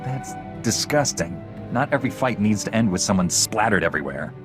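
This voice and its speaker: A principled young Jedi knight, voiced by a man, dry and firm. His voice sits a little low, with game music behind him. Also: lively intonation, brisk, lightly acted. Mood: steady. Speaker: player character. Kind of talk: video game voice acting.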